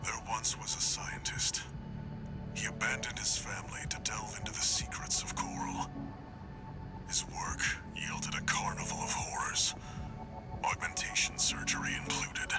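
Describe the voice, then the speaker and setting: Man acting a hushed, low, stern older handler. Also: steady tone, unhurried, in a whisper, over loud music, lightly acted. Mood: somber storytelling. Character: stern older male mercenary handler, somber, terse. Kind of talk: video game voice acting.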